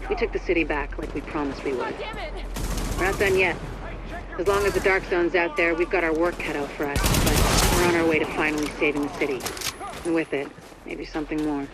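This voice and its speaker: An actor playing an earnest, hopeful agent, speaking reflectively to a comrade in a video game. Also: male voice, a little high, fairly even intonation, a little fast, close to an everyday voice.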